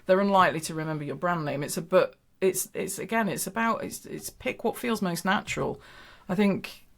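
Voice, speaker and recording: A woman's voice: on the low side, fairly quick, creaky. Confident woman giving branding advice. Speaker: podcast guest, branding business owner. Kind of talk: casual podcast chat.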